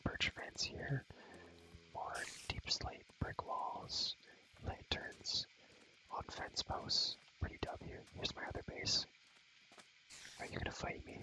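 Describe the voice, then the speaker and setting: A young man whispering rambling ASMR commentary on a game in a breathy voice, repeating phrases over and over with playful, slangy asides. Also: slow pace, pauses often, low-quality recording. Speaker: ASMR gaming creator.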